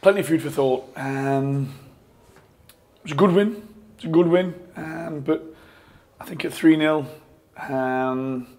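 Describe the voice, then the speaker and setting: A football manager in his forties giving a post-match interview from a press room, talking in a measured way with frequent restarts and fillers on a lapel mic. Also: mid-range voice, darkish tone. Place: press room in front of a sponsor board.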